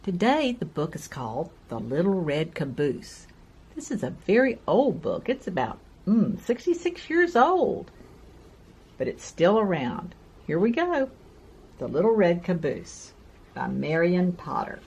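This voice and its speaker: Older woman, lively husky low-pitched storyteller. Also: measured pace. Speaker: children's storyteller, host. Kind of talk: animated picture-book reading for young children. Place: carpeted room at home.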